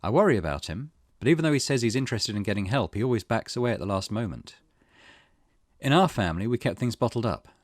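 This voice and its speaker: A man's voice: lowish voice, animated, fast, no room sound, clean studio sound. A man voicing an earnest, plain-spoken female manager, reading her interview quotes from a visual novel. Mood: sincere, concerned.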